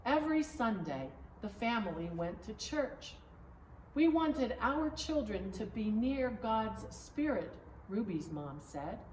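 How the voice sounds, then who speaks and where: A woman reads a children's storybook aloud as narrator, phrase by phrase in a deliberate way. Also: fairly high pitch, big pitch swings. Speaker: pastor reading a storybook. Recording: echoey room, distant mic, faint noise.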